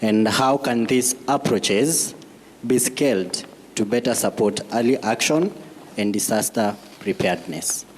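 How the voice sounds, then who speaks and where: A man's voice: medium pitch, unhurried, long reverb tail, slight background noise. A young man in the audience asking a question at a public event, speaking carefully. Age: young adult. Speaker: audience member.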